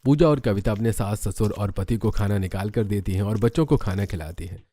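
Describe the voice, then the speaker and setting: A man's voice: on the low side, brisk, mellow. A man reading scripted narration for a cartoon story.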